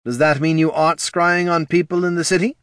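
Man acting a pressing, pointed hero, raised pitch. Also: little pitch movement, a little fast, a bit bright, smooth, no room sound, clean studio sound, lightly acted. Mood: accusing. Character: persistent, challenging male fantasy hero.

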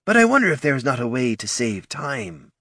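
A man voicing a calm, formal male orc chieftain who speaks in measured, persuasive phrases. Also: mid-range voice, no room sound, clean studio sound, voice pushed up.

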